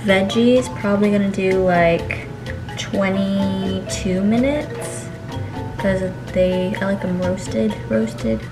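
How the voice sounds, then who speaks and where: A young woman in her twenties talks unhurriedly and chattily into a handheld camera, a bit wry and worn out. Music plays clearly underneath her voice. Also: medium pitch, fairly even intonation, slow. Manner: chatty, wry, slightly tired. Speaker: lifestyle vlogger. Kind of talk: casual vlog narration. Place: car, grocery store and home kitchen. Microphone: handheld camera close to face. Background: background music.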